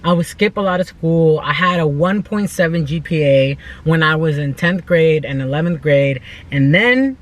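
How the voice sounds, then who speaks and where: A woman's voice: deep voice, expressive, moderate pace, hardly any pauses. A woman in her twenties from the United States talking casually and earnestly in an unscripted vlog, with informal, emphatic phrasing. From United States, born in El Salvador. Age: 20s. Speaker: vlogger.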